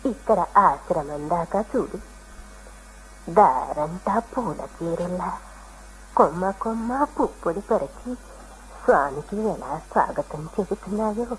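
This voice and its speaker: Devout old forest hermit woman, lowish and very slow, emotive radio-play delivery. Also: lively intonation. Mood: devout yearning. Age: older. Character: devout elderly hermit woman, yearning, tender, fussing over welcome. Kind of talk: Indian radio drama acting. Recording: noisy old radio drama recording.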